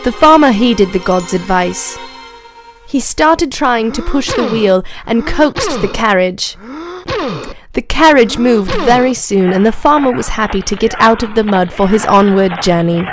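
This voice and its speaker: A woman narrating moral stories for children in a slow, clear, even storybook reading. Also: on the high side. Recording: narration over clear background music. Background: background music.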